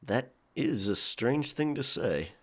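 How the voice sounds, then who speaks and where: A man playing a guarded, brooding pirate in an audio drama, speaking in a halting, reserved way. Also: medium pitch, lively intonation. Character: guarded, brooding male pirate with a hidden past.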